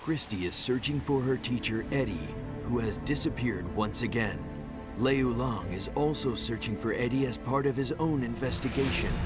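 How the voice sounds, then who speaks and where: Man, medium pitch, scripted game narration, storyteller, clear music bed.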